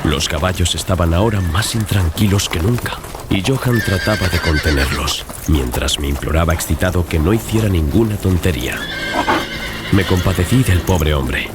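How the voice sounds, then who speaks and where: A man with a very low voice narrates a gothic tale. His delivery is scripted, measured and literary, in the style of a dramatized audiobook. Music plays clearly behind him. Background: music bed.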